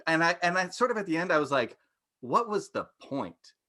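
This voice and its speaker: A man's voice: on the high side, big pitch swings, normal speed, sharp tone. A man giving his opinions informally as a panelist in a casual discussion, repeating words as he thinks.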